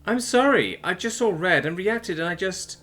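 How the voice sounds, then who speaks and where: Man voicing a high, tearful, bitter drunk woman soldier. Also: lively intonation, moderate pace, fairly bright tone. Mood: self-pitying, pleading. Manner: self-pitying, blurting, crude. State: sobbing, drunk.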